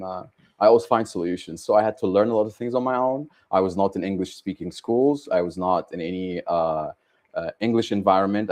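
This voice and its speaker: A man's voice: low voice, a little mellow. A man in his thirties with an Egyptian accent, answering interview questions casually and hesitantly, with many ums and uhs. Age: 30s. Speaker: guest, tech startup co-founder.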